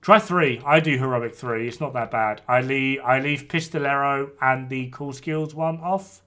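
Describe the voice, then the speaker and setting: A man's voice: mid-range voice, measured pace, pushed and bright. Casual man chatting over gameplay. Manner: informal, blunt, sweary. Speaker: game streamer. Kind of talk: casual gameplay chat with other players. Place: indoors at a gaming PC.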